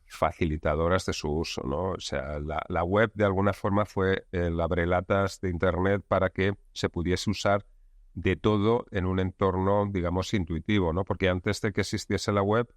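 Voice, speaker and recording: Low-voiced man explaining calmly. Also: dry recording, clean studio sound. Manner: measured, explanatory, leans on filler words like digamos. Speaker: guest expert. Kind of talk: conversational interview.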